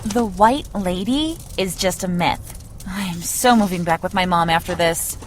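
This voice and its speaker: A woman's voice: lowish voice, unhurried, a little hiss or hum, lightly acted. A woman playing a defiant, sarcastic and frightened young woman in an audio drama, indignant and skeptical in her delivery.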